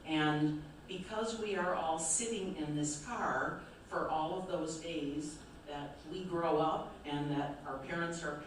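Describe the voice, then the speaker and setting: An older woman with an American Midwest accent talks in a chatty, informal way, checking in with her listeners as she goes. Her voice sits a little low. The recording comes from far off in a big, echoey hall, with faint noise underneath. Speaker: author and speaker. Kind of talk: live talk to an audience. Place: large event hall. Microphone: distant room mic.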